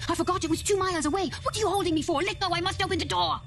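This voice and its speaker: A woman's voice: very high voice, racing, gravelly, a bit bright, slight background noise, exaggerated voice. A woman playing a desperate, grieving old mother, crying out urgently in a dramatic horror audio story. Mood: frantic hope. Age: older.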